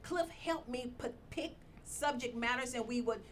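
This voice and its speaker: Animated woman producer on talk radio. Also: high voice, moderate pace, a little hiss or hum. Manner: animated storyteller, many restarts. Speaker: executive producer. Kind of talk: radio roundtable chat.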